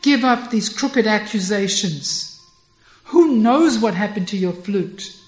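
A woman's voice: mid-range voice, animated, slow pace, roomy sound, faint music behind, pitched above the performer's own voice. A woman voicing an indignant young girl who pleads innocence in earnest, wounded protest.

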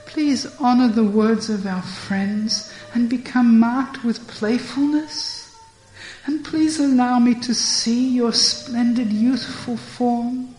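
Woman voicing a high, pleading young cowherd girl. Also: dark tone. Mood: longing. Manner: high, fast, pleading complaints. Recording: echoey room.

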